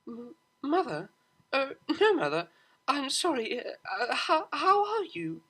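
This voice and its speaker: A man's voice: very high voice, wildly swooping pitch, slow, sharp tone, pitched above the performer's own voice, heavily acted. A man voicing a refined young female pony cellist from a story, prim and easily flustered. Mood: flustered, nervous. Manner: prim, stammering when flustered.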